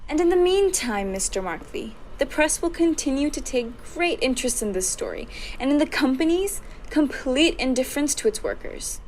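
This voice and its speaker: A woman's voice: high-pitched, animated, normal speed, darkish tone. A young woman acting a righteous, resolute social reformer in a student radio drama. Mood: indignant. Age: young adult. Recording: faintly noisy home recording.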